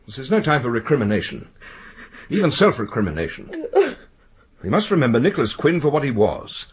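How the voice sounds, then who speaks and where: A pompous, conciliatory institute head in a radio drama. His voice is very husky and mid-pitched, swinging widely in tone as he speaks formally and solemnly. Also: huge pitch swings, heavily acted. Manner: formal, halting, solemn speechmaking. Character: pompous, conciliatory male head of an exam board.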